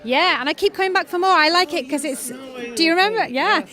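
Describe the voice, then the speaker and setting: Brisk high-voiced Welsh woman. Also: lively intonation, sharp tone. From Wales. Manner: brisk, confident and articulate. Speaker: creative director. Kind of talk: interview answers. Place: backstage at a fashion show.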